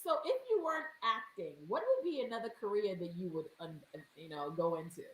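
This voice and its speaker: A woman's voice: medium pitch, sing-song, fairly quick, echoey room, a little hiss or hum, poor audio. A woman hosting a live interview, eagerly asking questions and reading out viewer questions. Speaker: interview host.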